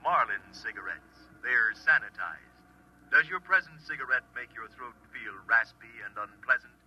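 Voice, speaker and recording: An actor playing a pushy, repetitive commercial announcer in old radio style, hard-selling with slogans. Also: male voice, medium pitch, sharp tone, husky, exaggerated voice. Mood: insistent. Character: pushy, insistent recorded commercial pitchman in radio drama. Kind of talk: mid-century radio advertising announcing. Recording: dull, lo-fi old radio recording.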